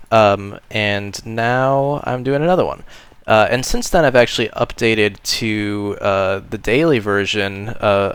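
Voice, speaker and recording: A man casually narrating a tour of his game base, relaxed and rambling with frequent ums and uhs. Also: on the low side, clear, resonant tone, no echo. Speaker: modded game player giving a base tour.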